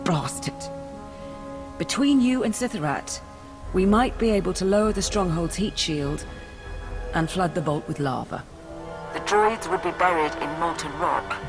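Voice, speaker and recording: An actor playing a pragmatic, anxious military aide to a dark lord in a video game, brisk and practical. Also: medium pitch, over music, lightly acted. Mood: exasperated. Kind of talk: video game cutscene acting.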